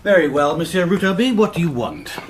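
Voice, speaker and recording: A proud, strained gentleman acted by a man in a radio play. His voice is fairly high for a man. He speaks formally and curtly, guarded and indignant, with clear background noise. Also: animated, fast, natural voice. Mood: stiff, irritated. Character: tense, haggard gentleman, proud, curt and guarded. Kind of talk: radio drama acting.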